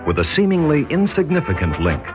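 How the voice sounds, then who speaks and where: A man's voice: on the high side, wildly swooping pitch, fast talker, narrow, tinny audio. A man narrating a mid-century radio drama as announcer, in a portentous, dramatic style. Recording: thin band-limited old radio recording. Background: loud dramatic music.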